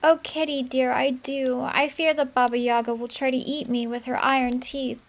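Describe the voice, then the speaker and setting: A woman's voice: high voice, level tone, normal speed, pitched above the performer's own voice. A woman doing a polite, timid little girl. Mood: meek. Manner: polite, soft and earnest.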